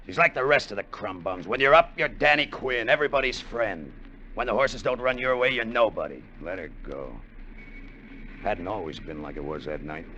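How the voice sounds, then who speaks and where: An actor playing a bitter, short-tempered, broke American gambler in a hard-boiled 1950s radio drama, tough and slangy. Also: male voice, fairly low pitch, moderate pace, pushed and bright, slight background noise. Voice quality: tough, slangy, curt delivery. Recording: dull vintage radio recording, music behind.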